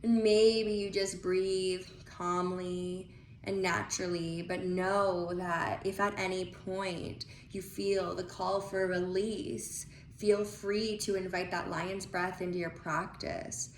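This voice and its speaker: Slow-paced woman guiding meditation in echoey room. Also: lowish voice, flat delivery. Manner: soothing, unhurried, with long pauses between phrases. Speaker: guided meditation leader. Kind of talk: guided visualization, breathing instruction. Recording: echoey room with faint noise.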